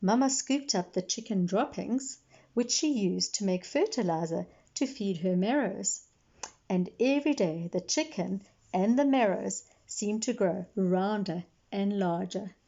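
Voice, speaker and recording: Mellow woman reading to children. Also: mid-range voice, animated, a little slow, dull audio. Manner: explains words simply for young listeners. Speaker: storyteller reading aloud to children. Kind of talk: expressive read-aloud narration.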